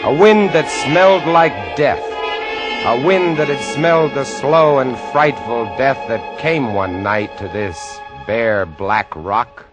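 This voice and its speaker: A man's voice: mid-range voice, close to an everyday voice, rough recording. Man acting a brooding lighthouse keeper storyteller, music behind. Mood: ominous, vivid. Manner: dramatic, savoring, measured emphasis. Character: male lighthouse keeper recounting a horror, brooding, vivid. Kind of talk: 1950s radio drama first-person narration. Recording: vintage 1950 radio broadcast.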